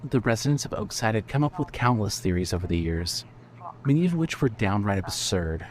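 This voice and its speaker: A man narrating scripted fiction as a storyteller, in a measured manner. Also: medium pitch, a little mellow, a little hiss or hum.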